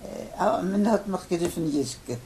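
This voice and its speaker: An older man who grew up in Poland, his first language Polish, answering interview questions haltingly with many pauses and fillers. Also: high voice, expressive, mellow. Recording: faint background noise.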